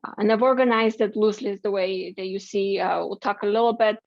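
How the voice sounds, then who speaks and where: A woman from Bulgaria, a political science professor, giving an academic talk over a video call in a measured way, with many fillers and restarts. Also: medium pitch, fairly even intonation, vocal fry, no room sound, highs cut off. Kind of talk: academic presentation.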